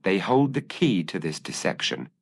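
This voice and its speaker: A man voicing a shrewd, confident gentleman detective in an audio story, speaking precisely and formally. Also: low voice, sing-song, normal speed, heavily acted. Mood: grave, certain.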